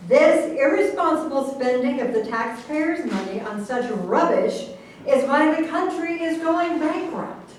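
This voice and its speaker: A woman playing a skeptical political opponent in a staged satirical reading, deliberate, sardonic and challenging. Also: high-pitched, lively intonation. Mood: challenging, disapproving. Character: skeptical, scolding female political opponent of the president. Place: echoey room, far from the microphone. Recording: very echoey, distant, noisy hall recording.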